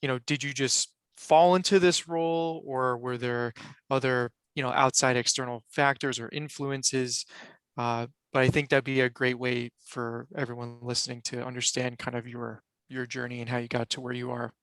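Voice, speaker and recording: A man hosting a panel over a video call, with a bright, crisp voice close to a dry mic. He rambles a little, circling through fillers and quick apologies as he steers the speakers. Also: mid-range voice, little pitch movement, dry recording. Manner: loose, filler-heavy, apologetic. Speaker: panel moderator.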